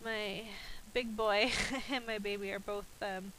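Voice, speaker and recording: A woman's voice: medium pitch, steady tone, measured pace, fairly bright tone, slight background noise, low-quality recording. Chatty woman, slightly nasal from a cold. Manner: chatty and rambling, with frequent ums. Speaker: scrapbooking video creator. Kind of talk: casual voice-over narrating her crafting.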